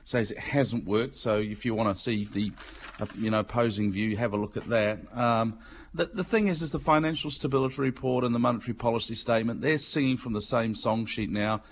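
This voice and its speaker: A man's voice: mid-range voice, brisk. Chatty Mancunian man with faint hum. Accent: Mancunian English. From England. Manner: chatty, explains in plain words, many ums. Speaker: financial markets commentator. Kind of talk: radio business segment.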